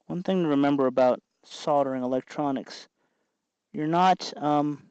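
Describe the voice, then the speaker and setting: A man hosting a casual hands-on tutorial, explaining in a halting way as he works. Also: fairly high pitch, a little mellow, smooth, dry recording, lo-fi sound. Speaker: host of a DIY electronics tutorial.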